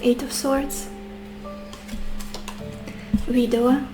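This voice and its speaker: A woman, a little sick and losing her voice, giving a gentle tarot reading to her viewers and musing aloud with soft hesitations. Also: high-pitched, little pitch movement, extremely slow, music in the background. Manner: gentle, addresses viewers warmly as beautiful souls. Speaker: tarot reader.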